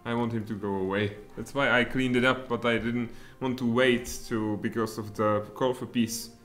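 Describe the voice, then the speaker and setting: A man in his thirties with a Czech accent, streaming a strategy game and thinking aloud, with sudden excited outbursts. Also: medium pitch, normal speed. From Czech Republic. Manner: mutters thoughts aloud, then bursts out excitedly. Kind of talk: live strategy game commentary. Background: faint music and keyboard typing.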